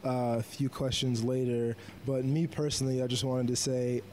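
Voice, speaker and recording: A man hosting a casual interview, friendly and joking with an old friend, rushing his words when excited. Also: mid-range voice, slight background noise. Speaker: podcast host.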